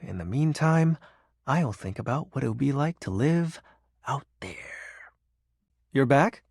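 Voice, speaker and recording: A man's voice: medium pitch, lively intonation, measured pace, creaky, darkish tone, slightly rough, no echo, clean studio sound, heavily acted. A man voicing the personification of darkness in a video game, a shy, sentimental, awkward self-styled shadow lord who speaks hesitantly with bursts of theatrical brooding. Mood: gentle, eager.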